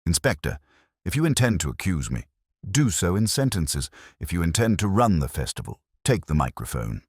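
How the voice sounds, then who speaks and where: Pompous male official in a mystery tale, as a man reads him; formal, affronted, low husky voice. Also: big pitch swings, normal speed, no echo, studio quality, exaggerated voice. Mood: defiant. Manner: haughty, formal, clipped. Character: stiff, pompous male festival official under accusation.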